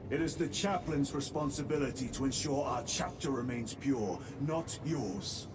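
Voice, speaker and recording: An actor playing a resolute male space marine lieutenant who speaks in a clipped, measured way. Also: medium pitch, noisy background, lightly acted. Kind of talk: video game cutscene acting.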